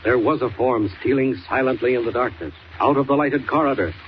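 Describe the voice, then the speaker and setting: An actor as a dramatic 1940s radio serial narrator, grave and suspenseful, on a noisy old recording. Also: male voice, mid-range voice, brisk, muffled recording, natural voice. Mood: ominous. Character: ominous male serial narrator.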